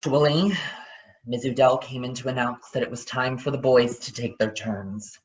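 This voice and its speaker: A man's voice: mid-range voice, steady tone, normal speed. A man narrating children's fiction as a dramatic reading. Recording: dull, lo-fi sound.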